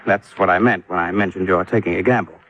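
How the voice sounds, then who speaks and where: A low-voiced, businesslike man, sober and earnest. He explains things in vintage radio drama style on a muffled recording. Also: fairly even intonation, fast talker, a bit bright. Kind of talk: 1950s radio drama acting.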